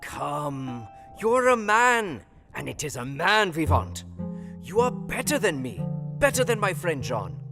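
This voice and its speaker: Audio drama casting: older doctor, kindly yet urgent, solemn broken English, highly animated delivery in a reverberant hall. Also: male voice, a little slow. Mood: rousing, earnest. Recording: long hall reverb over music.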